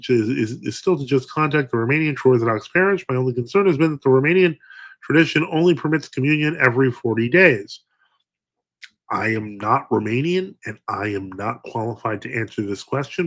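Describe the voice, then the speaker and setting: A man's voice: medium pitch, a bit bright. A man, addressed as a priest, hosting a live stream, reading chat comments aloud and answering them with frequent fillers and restarts. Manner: hesitant, many fillers and restarts.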